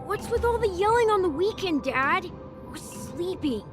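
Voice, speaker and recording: Meek little boy for a game played by a woman; high, unhurried, innocent on the surface. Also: expressive, over music. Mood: sleepy complaint. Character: sly, outwardly innocent little boy, dutiful son. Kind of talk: video game voice acting.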